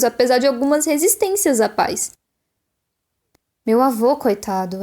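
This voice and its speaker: A woman's voice: high voice, a little mellow. A woman playing a proud alien mining heiress giving formal, solemn testimony in an audio drama.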